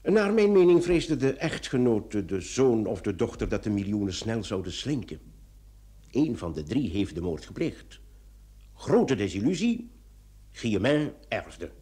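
A man's voice: fairly low pitch, sing-song, unhurried, dark tone. A man playing a methodical, self-assured reasoner on a murder case in an old Dutch radio play, speaking emphatically. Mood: assured, deductive.

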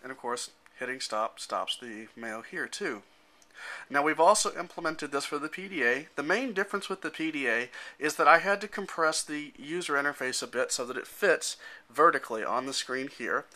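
A man in his thirties giving an informal, matter-of-fact demonstration as a software developer, explaining things step by step into a handheld camera. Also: a little high, moderate pace. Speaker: software developer presenting a demo. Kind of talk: informal product demonstration narration. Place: indoor room at home. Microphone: handheld camera microphone.

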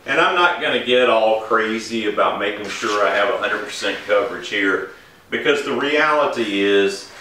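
A middle-aged man explaining woodworking steps in a relaxed, casual way while he works in a large indoor workshop. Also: on the low side, moderate pace, a little hiss or hum. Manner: relaxed, explains each step while working. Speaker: woodworking instructor. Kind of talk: casual demonstration while working. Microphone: camera mic from a distance. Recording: echoey workshop, distant mic.

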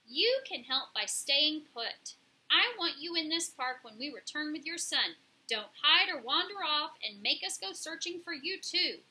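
A woman's voice: sits high, sing-song, a little slow, pushed and bright, voice pushed up, heavily acted. A woman voicing a bold, streetwise female pigeon who leads her flock, talking in an emphatic, colloquial, bossy way in a children's storybook.

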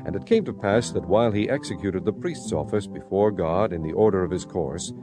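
A man's voice: deep voice, animated, fairly quick. A man narrating scripture dramatically, in a solemn storytelling manner. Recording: music behind the voice.

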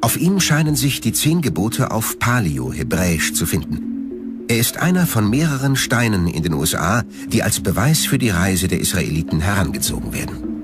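Male documentary narrator, low and lively. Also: moderate pace. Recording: music bed under the narration. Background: background music.

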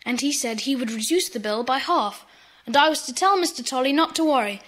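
Earnest high-voiced British schoolboy. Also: female voice, lively intonation, fairly quick, fairly bright tone. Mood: earnest, eager. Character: earnest, eager schoolboy in a children's club. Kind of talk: children's audio drama acting.

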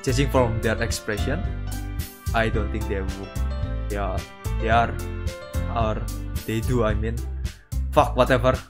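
A man streaming a visual novel, reading dialogue aloud haltingly and adding casual commentary. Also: fairly low pitch, steady tone. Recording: music playing behind the voice. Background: guitar game music.

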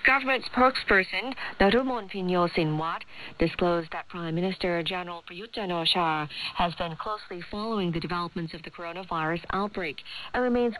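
A woman's voice: on the low side, fairly bright tone, slight background noise, poor audio. A woman radio presenter reading scripted news on a shortwave broadcast. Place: radio studio, heard over shortwave.

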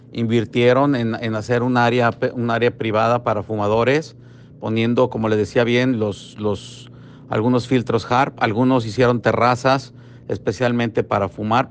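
A man's voice: mid-range voice, little pitch movement, normal speed, a little hiss or hum. A middle-aged man speaking as a restaurant industry representative in a phone interview, hesitant with many fillers and restarts. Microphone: phone line.

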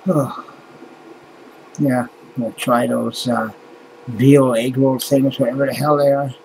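Fifties man from Canada, tired rambling home vlog on a webcam, swears and sighs, soft hum of air conditioning. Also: mid-range voice, level tone, takes it slowly, creaky, poor audio. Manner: mumbling, sighing, swears often, trails off. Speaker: vlogger. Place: small cluttered room indoors at night. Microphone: webcam close to face.

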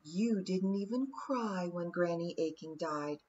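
A woman's voice: on the low side, slow, darkish tone, muffled recording, slight background noise. A woman voicing a cold, cruel fairy queen who speaks in a silky, condescending, taunting way in an audiobook. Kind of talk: audiobook character voice.

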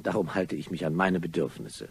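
An actor playing a nervous, stammering blackmailer in a radio play, formal but easily flustered. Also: male voice, deep voice, fairly quick, gravelly, slightly rough, exaggerated voice. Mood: insistent. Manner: hesitant, stammering, exclamatory, theatrical. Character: flustered male blackmailer, himself being squeezed, nervous and apologetic.

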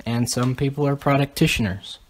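A man's voice: mid-range voice, moderate pace. A young man co-hosting a podcast, explaining history in a casual, lecturing way with many ums. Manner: lecturing, lists items, frequent ums. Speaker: podcast co-host.

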